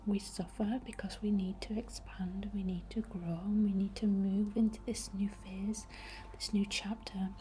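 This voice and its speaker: A woman speaking in a soft, breathy whisper, gently guiding a soothing, unhurried ASMR healing ritual. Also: medium pitch, flat delivery. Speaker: ASMR host. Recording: faint background noise.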